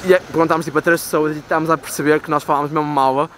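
A man's voice: fairly high pitch, little pitch movement, rapid-fire, fairly bright tone. A young man in his twenties with a European Portuguese accent, chatting casually to a camera held at arm's length while touring a city, rambling and full of fillers. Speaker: travel vlogger. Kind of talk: casual travel vlog. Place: outdoors in city streets and inside a stone cathedral and a big store. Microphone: handheld camera at arm's length. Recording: city street noise behind.

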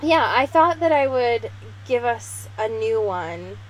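A young American woman co-hosting a podcast, chatting casually in an excitable, slangy, expressive way. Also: fairly high pitch, takes it slowly, rough recording. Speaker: podcast co-host. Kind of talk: casual chat.

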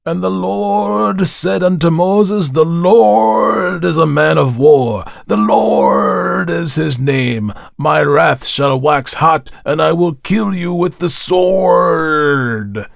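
A wrathful storybook minister. He bellows scripture slowly and grandly, pitched well up from normal speech, with sweeping intonation and very little room sound. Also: lively intonation, vocal fry, dry recording, clean studio sound, full character voice. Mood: wrathful, thundering. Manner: bellowing, slow, declamatory.

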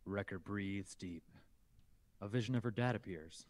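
A man with a fairly low voice narrates a screenplay. He reads scene headings and stage directions as scripted narration on a group video call. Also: a little slow.

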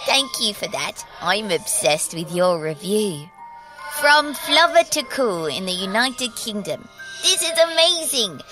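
A woman's voice: medium pitch, low-quality recording. A woman voicing a creepy, playful, mysterious little girl with a childlike, teasing sing-song voice in an audio drama. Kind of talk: in-character audio drama address to listeners. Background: music under the voice.

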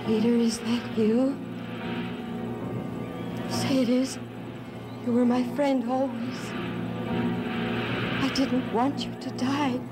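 Terrified, high-pitched pleading victim. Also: female voice, flat delivery, slow pace, long pauses. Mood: fearful pleading. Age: young adult. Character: terrified, pleading young woman facing a serpent. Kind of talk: 1960s TV soap opera melodrama. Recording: old TV sound drowned in loud music.

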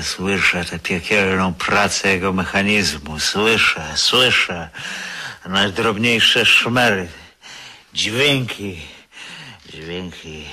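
An actor playing a broken, drunken man obsessed with his lost wife, speaking in halting, repetitive, anguished bursts in a radio drama. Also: low-pitched, sharp tone, full character voice. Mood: tormented. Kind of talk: 1970s Polish radio drama acting.

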